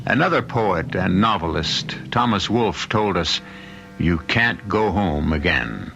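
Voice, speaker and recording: A man's voice: deep voice, animated, slow pace, creaky. A man hosting and narrating an old-time radio mystery in a theatrical, portentous manner. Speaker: host and narrator. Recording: muffled old radio recording, loud music behind.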